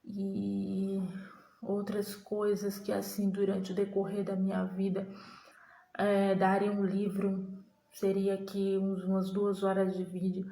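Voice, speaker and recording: A young woman giving a slow, earnest personal religious testimony to the camera, halting and restarting as she recalls things. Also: medium pitch, monotone, vocal fry, warm tone, roomy sound, poor audio. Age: young adult.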